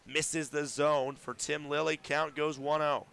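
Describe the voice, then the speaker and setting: A young man calling baseball play-by-play on radio from a ballpark booth, getting loud and excited on big plays. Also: fairly high pitch, unhurried, gravelly, clean tone. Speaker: play-by-play announcer.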